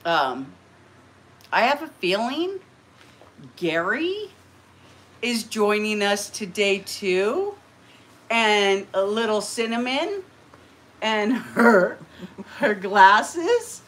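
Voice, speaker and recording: A woman's voice: medium pitch, sing-song, extremely slow, long pauses, a bit bright. A middle-aged woman hosting a casual livestream from her living room, chatty and rambling, often laughing. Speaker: livestream host. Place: living room, green party decorations.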